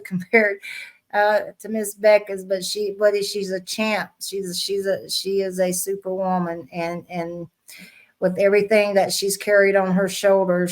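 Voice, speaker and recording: Woman hosting a casual interview. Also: fairly low pitch. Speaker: podcast host.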